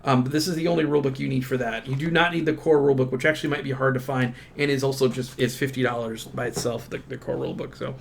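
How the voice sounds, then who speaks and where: A man with a creaky, gravelly voice giving a casual product walkthrough in a halting, self-interrupting way. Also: mid-range voice, fairly even intonation, a little hiss or hum. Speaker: unboxing presenter.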